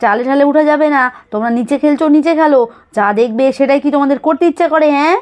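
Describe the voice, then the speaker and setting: A woman's voice: high voice, rapid-fire, a little mellow, lightly acted. A woman voicing a protective, scolding village grandmother in a children's doll-story cartoon, fretfully repeating her commands.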